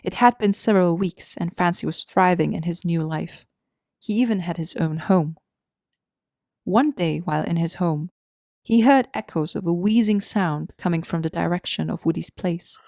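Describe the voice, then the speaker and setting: Female narrator, storybook read: mellow, slightly low voice, faint music underneath. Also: moderate pace, vocal fry, no echo, clean studio sound.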